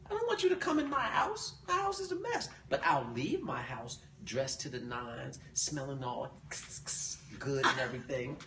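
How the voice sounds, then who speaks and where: A man co-hosting a casual book discussion, joking and acting out the characters in an animated way. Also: medium pitch, moderate pace. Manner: animated, jokey storyteller who acts out the characters. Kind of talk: casual chat. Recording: echoey room, distant mic, noisy.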